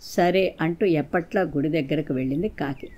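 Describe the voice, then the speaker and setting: Low-pitched woman storyteller. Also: moderate pace, darkish tone. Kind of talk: scripted moral folktale narration for children.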